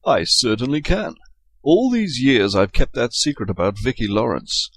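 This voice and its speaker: An actor playing a nosy, dryly absurd British colleague in a comedy audio drama, with a British accent. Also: male voice, mid-range voice, sing-song, moderate pace, a bit bright, breathy edge, no echo. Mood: droll, curious.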